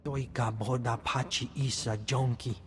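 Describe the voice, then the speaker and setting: A man plays a male alien from a sci-fi video game, declaiming in short phrases of made-up speech. The voice is mid-pitched, with a long reverb and faint noise behind it. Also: fairly even intonation, unhurried, natural voice. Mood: declamatory. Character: male alien speaking an invented guttural tongue.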